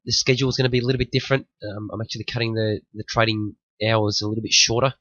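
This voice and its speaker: A man's voice: lowish voice, fairly even intonation, rapid-fire, fairly bright tone, no room sound, dull audio. A man hosting a day trading room, recovering from flu and a bit sniffly, talking through the market with many restarts and warnings. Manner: repetitive, restarts phrases, cautionary. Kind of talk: market analysis commentary.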